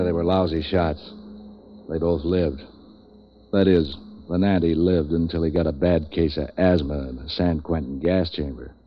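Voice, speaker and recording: An actor playing a street-wise, sardonic troubleshooter in a 1940s radio noir, with wry, slangy tough-guy delivery. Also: low-pitched. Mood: wry, narrating. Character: street-wise, hard-boiled male boat-for-hire troubleshooter, sardonic. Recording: dull vintage 1940s radio recording.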